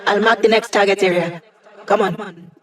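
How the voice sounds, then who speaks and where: A woman's voice: medium pitch, fairly even intonation, normal speed, a bit bright, close to an everyday voice. A woman voicing an upbeat, quirky young hacker who lives as a digital being in a video game, chatty, playful and wry. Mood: brisk, wry. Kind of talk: video game character dialogue.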